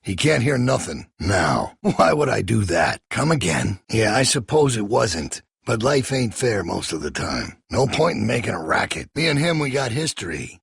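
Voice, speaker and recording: An actor playing a menacing, ruthless leader of a survivor camp in a video game, a middle-aged American man with a gravelly, raspy voice and a folksy, preachy manner. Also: fairly low pitch, very animated, normal speed, hardly any pauses, no room sound, studio quality, exaggerated voice. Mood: calm, threatening.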